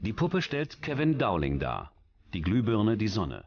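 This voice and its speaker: A man's voice: fairly low pitch, wildly swooping pitch, measured pace, a little mellow, slightly rough, no room sound, dull audio. A man reading scripted documentary narration in as the narrator. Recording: music under the voice. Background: background music.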